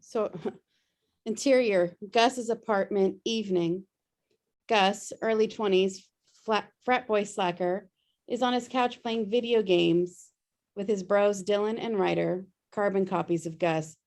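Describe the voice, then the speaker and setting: A woman's voice: mid-range voice, unhurried, darkish tone. A woman narrating stage directions at a script table read. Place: remote video call.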